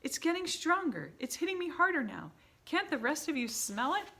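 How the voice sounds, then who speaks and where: A woman voicing a tall female witch who shrieks in alarm in a very high, loud voice. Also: lively intonation, normal speed.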